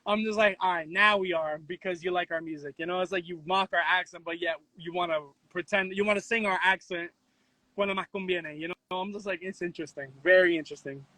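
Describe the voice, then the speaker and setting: A man speaks in a high, sharp voice, recorded close and dry. He is an interview guest telling stories casually, with slang and strong opinions. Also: quick pace, no echo, poor audio. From the Bronx, New York. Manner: animated storytelling, slangy, switches into Spanish. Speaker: interview guest, content creator.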